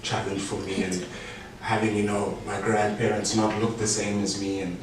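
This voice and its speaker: A man's voice: fairly low pitch, level tone, moderate pace. A South African man whose first language is Afrikaans, speaking in a reflective, articulate way with frequent "you know" and restarts. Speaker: artist and guest. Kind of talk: casual conversation. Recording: roomy space, distant mic, faint noise.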